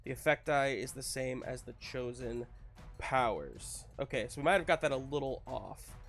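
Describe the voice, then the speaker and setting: Male game master, gravelly mid-pitched voice, casual and encouraging, music underneath. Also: animated, moderate pace, fairly bright tone. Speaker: game master of a tabletop superhero roleplaying game. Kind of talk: casual game narration and rules talk.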